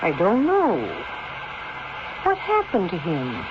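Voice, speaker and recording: Earnest older housekeeper, very noisy recording. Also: female voice, medium pitch, wildly swooping pitch, slow. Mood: anxious, reassuring. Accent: American. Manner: earnest, deferential, plainspoken. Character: loyal older female nurse-housekeeper, anxious but plainspoken. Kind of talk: 1970s radio drama acting. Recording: muffled, very noisy 1970s radio recording.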